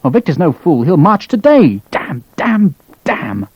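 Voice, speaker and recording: A man's voice: fairly high pitch, very animated, normal speed, dry recording, dull audio. A man doing a furious swearing British colonel. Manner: ranting, shouting, cursing.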